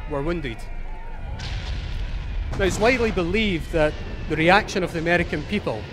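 A middle-aged Scottish man giving a measured, earnest talk on a large auditorium stage through a headset mic. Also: high-pitched, over music. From Scotland. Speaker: conference speaker.

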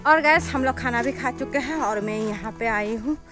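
A woman's voice: on the high side, moderate pace, music in the background. A woman in her thirties with a Northeast Indian accent, chatting casually to her viewers as she films herself outdoors on a phone. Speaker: vlogger. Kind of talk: casual vlog narration to viewers. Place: outdoors in a rural village. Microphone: phone at arm's length.

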